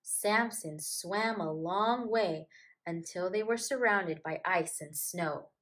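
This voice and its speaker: A woman's voice: fairly low pitch, slow, fairly bright tone. A woman teacher reading a storybook and talking to young children in an encouraging way, with simple phrasing. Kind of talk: storybook read-aloud and gentle address to children.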